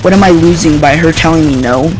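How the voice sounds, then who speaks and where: A man's voice: fairly high pitch, fast, slight background noise, rough recording. A young man telling a casual, profane story in a rambling, slangy way while playing a game. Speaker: gaming video host. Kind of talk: casual profane story time over gameplay. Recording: loud music and gunfire behind. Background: music and shooter game gunfire.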